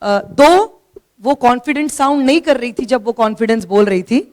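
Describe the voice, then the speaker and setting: A woman's voice: on the high side, normal speed, darkish tone. A middle-aged woman teacher with a sore throat, giving a firm classroom lecture from slides in a hall. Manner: firm, scolds listeners for talking. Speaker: teacher giving a talk to students. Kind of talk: classroom lecture with slides, mixing Hindi and English.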